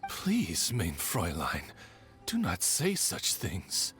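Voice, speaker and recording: A man voicing a dignified, devoted raven attendant in a video game, formal, courteous and measured. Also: low voice, huge pitch swings, fairly bright tone, faint music behind, exaggerated voice. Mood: apologetic, devoted.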